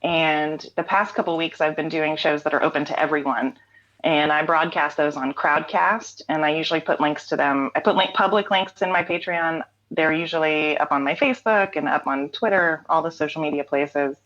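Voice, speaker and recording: A woman's voice: deep voice, steady tone, moderate pace, fairly bright tone, dull audio. A woman guest speaking candidly in a casual interview, reflective, with frequent ums and restarts. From North Carolina. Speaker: interview guest, singer-songwriter.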